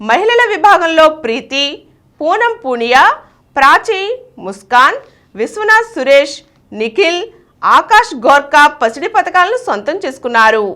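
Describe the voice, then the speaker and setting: High-pitched woman reading scripted news. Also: big pitch swings, normal speed, sharp tone. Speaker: news reader.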